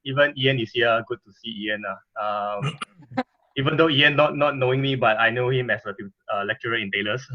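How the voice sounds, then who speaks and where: A man's voice: medium pitch, normal speed, dull audio. A man greeting familiar faces on a video call, cheerful and chatty, laughing between words.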